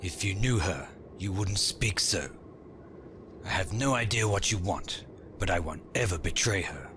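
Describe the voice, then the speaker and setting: Man as grave, slow-spoken loyal courtier. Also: sits low, animated, background noise. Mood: solemn defiance. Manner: deliberate, solemn. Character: loyal, defiant male former courtier, grave and dignified. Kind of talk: sci-fi comic dub acting.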